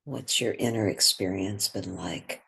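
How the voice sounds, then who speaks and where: A man guides a meditation at a very slow, unhurried pace. His voice is soft and breathy, and he leaves long pauses between phrases. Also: sits low.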